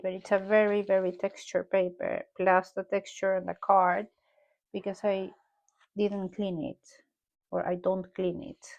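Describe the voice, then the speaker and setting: A woman from Peru with a strong Peruvian Spanish accent, talking casually through an art journal page as she paints, often pausing and correcting herself as she thinks aloud. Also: medium pitch, darkish tone. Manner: musing aloud while painting, unhurried and self-correcting. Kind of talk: casual art tutorial narration.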